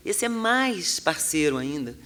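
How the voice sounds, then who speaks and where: A Brazilian man explains in a didactic, analytical way as an interviewed expert. His voice sits a little high for a man. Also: big pitch swings, a little slow, pushed and bright.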